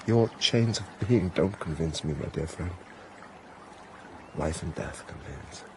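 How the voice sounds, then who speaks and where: A man's voice: rumbling low, lively intonation, slow, vocal fry, noisy background, exaggerated voice. A man with a British accent playing a disillusioned, cynical prince in a radio drama, well-spoken, weary and clipped in manner. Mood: grave. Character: disillusioned, cynical Russian prince, a brooding landowner.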